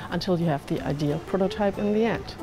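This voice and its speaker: Low-ish woman explaining how a course works, music playing under it. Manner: measured, explanatory, with fillers. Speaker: summer school organizer. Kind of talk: explaining in an interview.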